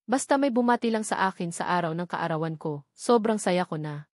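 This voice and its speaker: A woman's voice: lowish voice, expressive, brisk, dry recording, clean studio sound. A woman reading a scripted first-person story as an audiobook narrator.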